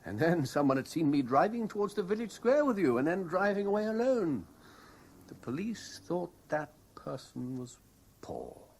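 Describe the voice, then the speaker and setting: An actor with a British accent playing a tormented, obsessive lover in a brooding, deliberate radio drama style. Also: male voice, a little high, very animated, gravelly, a little mellow. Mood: bitter, confessional. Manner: brooding, deliberate, intensely emotional. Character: tormented, obsessively lovestruck man confessing his cowardice. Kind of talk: BBC radio drama acting. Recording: hissy 1970s radio broadcast recording.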